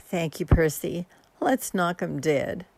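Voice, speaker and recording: Long-suffering stage assistant, played by an actress: woman, frank, reproachful, unhurried and creaky, radio drama. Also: on the low side, a little mellow, no echo, exaggerated voice. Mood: resigned. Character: weary, long-suffering female magician's assistant. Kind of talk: radio mystery play acting.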